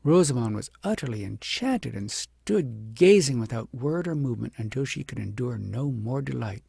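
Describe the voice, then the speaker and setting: An audiobook narrator reads a fairy tale in a dark, mellow voice. It is strongly creaky and husky, and the recording is dry. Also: medium pitch, lively intonation, normal speed, dry recording. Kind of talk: scripted storybook narration.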